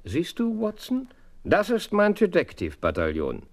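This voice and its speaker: An actor playing a brilliant, self-assured detective in a German audio drama, theatrical, commanding and slightly teasing. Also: male voice, sits high, huge pitch swings, normal speed, gravelly, heavily acted. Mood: brisk, commanding.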